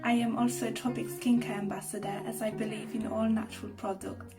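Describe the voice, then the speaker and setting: High-voiced young woman from Poland over guitar. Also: level tone, fairly quick. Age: 20s. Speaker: pageant contestant. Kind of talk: prepared self-introduction. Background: music with plucked guitar.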